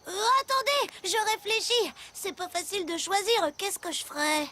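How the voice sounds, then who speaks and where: A performer voicing an eager, curious, impatient young child in a French children's cartoon, with an excitable delivery. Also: very high-pitched, normal speed, background noise.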